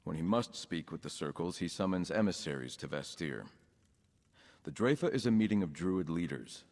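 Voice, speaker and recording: An actor playing an earnest, composed young nobleman in a video game, speaking in a formal, measured way. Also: deep voice, expressive, darkish tone, long reverb tail. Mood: earnest, assured. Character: young nobleman, count's son with druid leanings, earnest and composed. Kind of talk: scripted video game dialogue.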